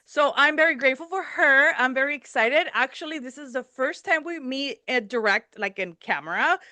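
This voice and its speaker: Bright, slightly high-voiced woman podcast host from Mexico, casual and chatty, mixes in Spanish, dry close sound. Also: expressive, normal speed, no echo. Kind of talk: casual chat.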